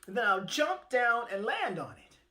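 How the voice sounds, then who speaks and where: A woman voicing a greedy male tortoise who pleads in a slow, drawn-out way. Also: fairly high pitch, sing-song, sharp tone, pitched above the performer's own voice. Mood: pleading.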